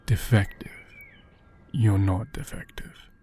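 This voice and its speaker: A man acting a gentle, caring doll owner in a soft ASMR roleplay, speaking tenderly and haltingly, often in whispers. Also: lowish voice, fairly even intonation. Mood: tender, reassuring.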